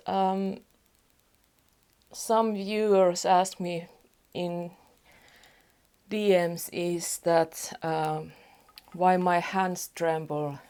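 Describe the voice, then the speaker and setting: A woman with a Finnish accent, chatting casually at home as a beauty vlogger, soft-spoken and rambling with small restarts. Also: on the low side. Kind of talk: casual get-ready-with-me chat. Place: home apartment.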